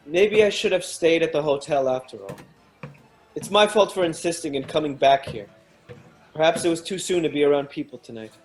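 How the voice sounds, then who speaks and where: An actor playing an earnest, courteous young gentleman in a radio drama, troubled and polite. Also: on the high side, fairly quick, faint music behind, close to an everyday voice. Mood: dejected, courteous.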